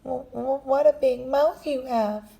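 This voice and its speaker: A curious young girl from a read-aloud storybook, asking questions hesitantly, as a woman reads her lines. The voice rises a little above the reader's own. It moves slowly with a warm, mellow tone. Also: high voice, vocal fry, pitched above the performer's own voice. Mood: wondering.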